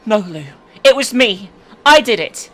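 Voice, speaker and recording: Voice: mid-range voice, expressive, unhurried, fairly bright tone, voice pushed up. A performer voicing a guilt-ridden teenage boy, earnest and pleading, in a dramatic story reading. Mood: desperate.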